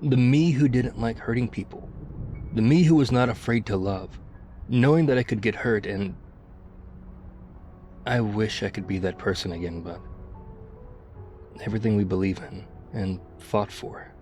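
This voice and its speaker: Mellow, low-voiced war-weary male survivor acted by a man. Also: soft background music, natural voice. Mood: regretful, sad. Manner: confiding, reflective, halting. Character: battle-worn male ex-soldier survivor of zombie apocalypse, wistful, confiding. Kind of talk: intimate ASMR roleplay acting.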